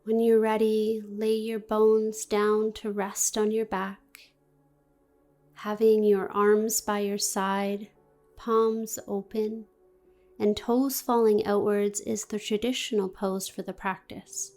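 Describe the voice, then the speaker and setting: A woman guiding a meditation in a gentle, deliberate, soothing manner with long pauses. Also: mid-range voice, level tone, soft background music. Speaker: yoga nidra meditation guide. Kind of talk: guided meditation, scripted narration.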